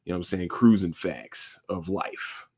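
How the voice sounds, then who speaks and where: A man in his thirties hosting a podcast, talking casually and jokingly in a rambling, animated way. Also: low voice, moderate pace, slightly rough. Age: 30s. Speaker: podcast host. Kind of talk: casual solo podcast monologue.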